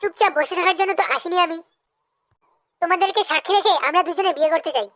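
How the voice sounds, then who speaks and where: A man's voice: very high voice, fast talker, fairly bright tone, pitched above the performer's own voice. A man voicing a brazen, scheming village wife in a comedy cartoon, taunting and blunt. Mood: defiant.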